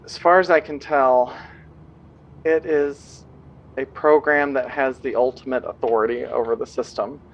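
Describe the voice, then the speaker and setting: Tabletop game character, played by a man: helpful male computer user, medium pitch, measured, factual explainer. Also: steady tone, lo-fi sound, lightly acted. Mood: matter-of-fact, tentative.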